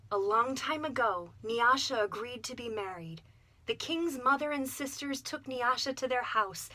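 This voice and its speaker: Young woman storyteller, warm read-aloud manner for children, pitched a touch high. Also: rough recording. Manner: gentle, expressive storytelling. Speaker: storyteller, voice actor.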